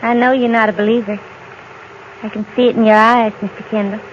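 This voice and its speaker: A woman's voice: on the high side, level tone, fairly quick, natural voice. An actress playing a proud, strange, intense young frontier woman with a direct, challenging manner, in an old radio western. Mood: insistent. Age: young adult. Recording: dull, noisy old radio recording.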